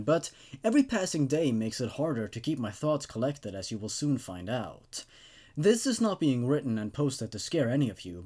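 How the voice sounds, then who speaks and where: A man's voice: medium pitch, warm tone. A man hosting a horror story show, moving between dramatic narration and casual review, with emphatic delivery. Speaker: host reading and reviewing horror stories.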